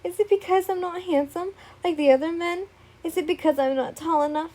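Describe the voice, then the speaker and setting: A woman's voice: very high-pitched, a little fast, warm tone, voice pushed up. A woman voicing an obsessive, tearful young man who pleads in an emotional way. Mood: pleading.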